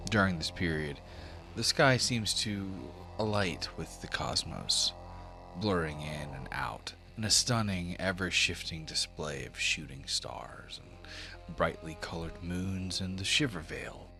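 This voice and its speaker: A man's voice: sits low, unhurried, gravelly, pushed and bright. A man narrating a tabletop role-playing game as the game master. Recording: music under the voice.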